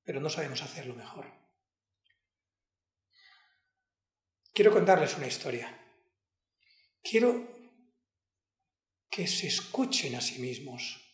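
An impassioned male defense lawyer, played by a man addresses a jury in a dramatic monologue. His voice sits a little high and moves very slowly, each short phrase set down with solemn emphasis. The room around him rings with clear echo. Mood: pleading. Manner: slow, deliberate, emphatic, broken into short phrases. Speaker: acting student. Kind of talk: dramatic courtroom monologue, acted to camera. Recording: echoey room.

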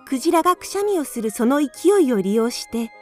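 Female radio drama narrator, high-pitched, scripted storytelling with soft music behind. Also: big pitch swings, fairly quick.

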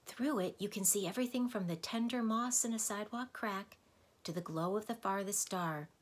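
A woman voicing a gentle, wise young man of the forest who speaks softly, almost in a whisper. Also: mid-range voice, normal speed, voice pushed up, natural voice. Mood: gentle, wistful. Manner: soft, half-whispered. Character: gentle, soft-spoken male forest guide, wise.

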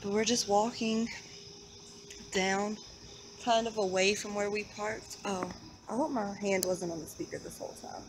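A young woman with an American accent talks casually to her vlog viewers as she walks. Her voice has an average pitch. The handheld recording is noisy, with crickets and insects clearly heard outdoors at night. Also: fairly even intonation, normal speed. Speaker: paranormal vlogger. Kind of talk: casual vlog narration and chat. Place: outdoors at night around a train station. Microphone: handheld camera microphone.

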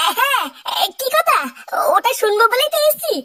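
A man voicing a cheeky, foul-mouthed young son in a comedy cartoon, excitable and crude, with a pitched-up voice. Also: fairly quick, a little hiss or hum, exaggerated voice. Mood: puzzled, scolding. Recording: music bed behind the voice.

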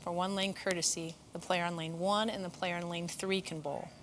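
A middle-aged woman coach with a low voice talks through bowling etiquette. She is relaxed and conversational, and she draws on her own time competing. A faint hiss sits under her voice. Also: moderate pace. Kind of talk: instructional chat. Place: bowling center, seated at a table.

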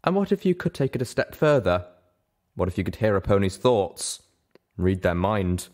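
A man narrating scripted fiction in a measured, dramatic storytelling manner. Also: sits low. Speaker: audiobook narrator.

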